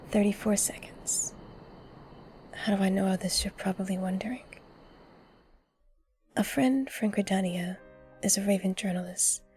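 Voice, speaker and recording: A woman's voice: mid-range voice, fairly even intonation, a little slow, long pauses, a little mellow, natural voice. Woman playing blunt, intense heroine over music. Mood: confrontational. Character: blunt, intense, wounded heroine, protective of victims. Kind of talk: fan audio drama acting, dramatic monologue.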